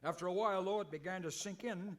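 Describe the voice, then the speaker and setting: An actor playing a bumbling, earnest, mildly exasperated cowboy hero in a comic old-time radio western. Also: male voice, sits high, quick pace, lightly acted. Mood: wry complaining. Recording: faintly noisy live stage recording.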